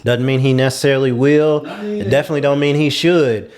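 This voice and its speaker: A man's voice: mid-range voice, a little fast, crowd noise. A man on a talk show panel, casually explaining his views in an earnest way. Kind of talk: casual group discussion.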